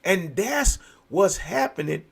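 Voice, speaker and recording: An American man hosting a talk show, speaking in an animated, preacher-like conversational way, emphatic and often repeating phrases for effect. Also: high-pitched, takes it slowly, gravelly, slight background noise. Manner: emphatic, repetitive. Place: virtual video show.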